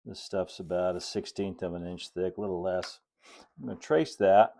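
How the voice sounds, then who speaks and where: Gravelly, low-voiced middle-aged American man. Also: mellow. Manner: unhurried, folksy, thinking aloud while working. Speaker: host, model railroad builder. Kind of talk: casual hands-on tutorial talk while working. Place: indoor hobby workshop at a cluttered workbench and layout.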